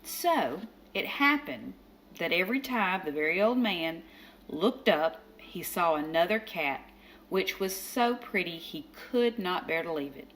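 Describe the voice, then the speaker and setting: A woman reading a children's picture book aloud as storyteller, expressive, with dramatic emphasis. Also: fairly low pitch, unhurried, poor audio. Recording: faint background noise.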